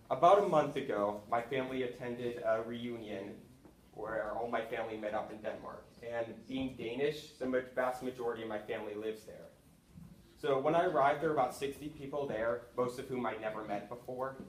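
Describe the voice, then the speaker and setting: A young man, giving an earnest prepared speech to classmates in a school hall, with small restarts now and then. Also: mid-range voice. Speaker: student speaker. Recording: echoey room with faint noise.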